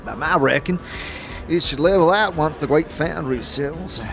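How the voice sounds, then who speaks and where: A man playing a sickly old ex-warrior in a fantasy audio drama, with a gravelly wheeze, stammering, chuckling folksy slang. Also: on the high side, moderate pace, pitched above the performer's own voice. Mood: wry, wistful. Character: sickly old ex-warrior tavern drinker, wry and grumbling. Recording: music under the voice.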